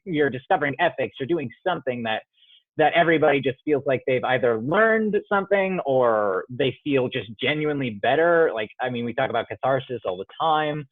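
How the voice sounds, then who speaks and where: A man's voice: medium pitch, expressive, brisk, no room sound. Man speaking over a tinny call line. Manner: thoughtful, searching for words, frequent restarts. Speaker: playwright. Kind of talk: interview answers on a call. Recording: dry tinny phone-like audio.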